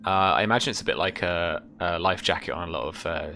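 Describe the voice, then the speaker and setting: A man in his thirties narrating a tabletop game as game master, descriptive and measured, with trailing pauses. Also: low voice, vocal fry, pushed and bright, a little hiss or hum. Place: small indoor room at home.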